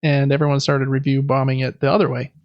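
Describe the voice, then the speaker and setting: A man's voice: mid-range voice, little pitch movement, fast talker, no echo, muffled recording. A man co-hosting a podcast, talking through news casually in an informal, rambling, self-correcting way. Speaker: podcast co-host. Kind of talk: casual gaming news chat.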